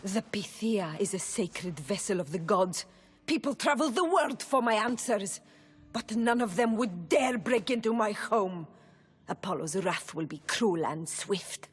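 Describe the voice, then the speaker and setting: A frightened breathy oracle. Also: female voice, medium pitch, lively intonation, a little slow. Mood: indignant. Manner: urgent and fearful, pleading. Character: Pythia, frightened woman oracle under threat. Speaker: oracle in a video game.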